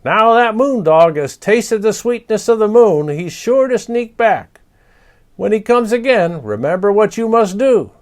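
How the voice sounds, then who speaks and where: A man voicing a cheeky, mischievous male parrot with a shrill, squawking voice and a teasing, bossy way of talking. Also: expressive, measured pace. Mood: warning.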